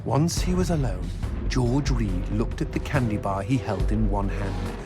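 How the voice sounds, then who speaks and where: An actor playing a wisecracking talking demon skull in a video game, with a florid, droll storyteller's delivery. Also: male voice, lowish voice, expressive, unhurried, music in the background. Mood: droll, macabre storytelling. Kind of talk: scripted video game acting.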